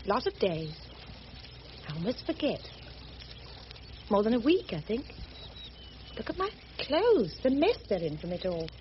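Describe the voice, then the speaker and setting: An actress playing a prim, sheltered, earnest missionary spinster in a radio drama, with a proper, gently insistent manner. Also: female voice, medium pitch, sing-song, a little slow, pauses often. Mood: wistful. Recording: muffled, noisy old radio recording.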